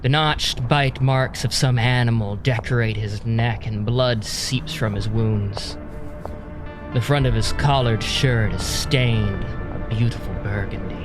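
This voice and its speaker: A male storyteller narrates in a scripted, dramatic style. His pace is slow and deliberate at a middling pitch, with loud music underneath. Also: fairly even intonation.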